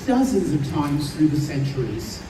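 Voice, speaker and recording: A man playing a calm, knowing escort of departed souls in an old-time radio play, recorded live in a roomy space. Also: a little high, moderate pace. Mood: calm, solemn. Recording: roomy live stage recording, distant, faint noise.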